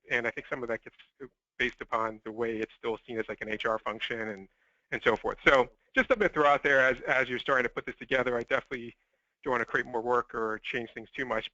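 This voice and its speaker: Male call participant, rapid and sharp-toned, informal, qualifying his points, muffled dry line. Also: mid-range voice, no room sound. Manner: tentative, qualifying. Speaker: call participant, recruiting group organizer. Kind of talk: informal opinion on a conference call. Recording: dull conference call line.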